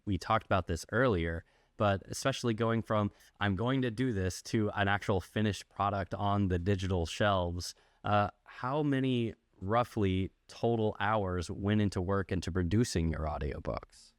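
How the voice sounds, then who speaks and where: Low-voiced male podcast host. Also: normal speed, no room sound, clean studio sound. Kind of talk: conversational interview.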